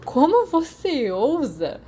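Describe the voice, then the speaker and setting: A woman's voice: sits high, sing-song, slow, warm tone, a little hiss or hum, voice pushed up, heavily acted. A Brazilian woman voicing a cheeky, theatrical teenage boy wizard in an audiobook, teasing and mock-indignant. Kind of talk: audiobook character voice.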